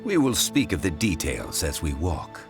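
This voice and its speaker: A man playing a composed, scholarly funeral consultant in a video game, speaking formally and eloquently in measured phrases. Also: deep voice, wildly swooping pitch, music in the background, exaggerated voice. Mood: grave, courteous. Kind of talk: scripted video game character dialogue.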